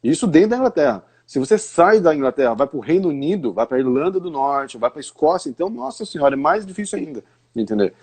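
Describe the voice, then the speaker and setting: A man's voice: a little high, big pitch swings, quick pace, a little mellow, slightly rough. A Brazilian man, an English teacher, explaining pronunciation in a live stream conversation, often asking rhetorical questions. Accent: Brazilian Portuguese.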